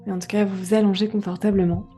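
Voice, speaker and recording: Woman, yoga nidra guide, soft dark timbre, very flat intonation, gentle unhurried pacing, music behind. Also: medium pitch. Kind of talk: guided relaxation meditation.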